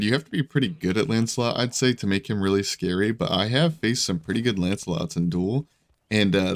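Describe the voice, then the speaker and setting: A man with a hoarse, scratchy voice giving casual, rambling commentary over his own gameplay, full of fillers and restarts. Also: lowish voice, dry recording. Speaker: gaming video creator.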